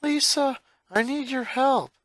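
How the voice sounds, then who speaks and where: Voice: high-pitched, slow, fairly bright tone, no echo, pitched above the performer's own voice. A performer voicing an exasperated eleven-year-old boy from a cartoon fan story, with an indignant, pleading and emphatic delivery.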